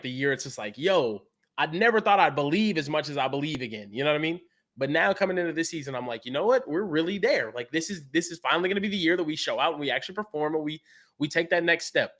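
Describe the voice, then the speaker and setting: A man's voice: fairly high pitch, expressive, fast talker, a bit bright. A man from East Texas with an East Texas accent, co-hosting a casual sports talk stream in an earnest, easygoing way.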